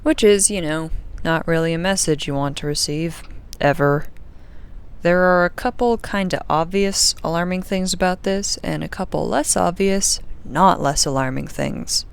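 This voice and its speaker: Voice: medium pitch, normal speed, vocal fry, clean tone, natural voice. An actor playing a sardonic, uneasy young crew member recording an audio diary in a casual, rambling, sarcastic way. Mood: sarcastic, agitated. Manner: wry, sarcastic, informal, rambling. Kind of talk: audio drama diary monologue, casual and conversational.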